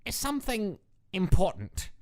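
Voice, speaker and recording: A woman's voice: mid-range voice, huge pitch swings, normal speed, very noisy. A woman playing a naive, boastful male skeleton monster in a comic dub, with a pompous, childlike and emphatic delivery. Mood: evasive, uneasy. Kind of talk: scripted comic dub acting.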